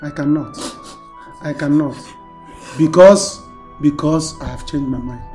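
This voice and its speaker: A man acting as a stubborn Nigerian suitor with a fairly high voice. He refuses insistently and repeats himself, over background music in a film drama. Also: unhurried, mellow, natural voice. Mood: firm refusal.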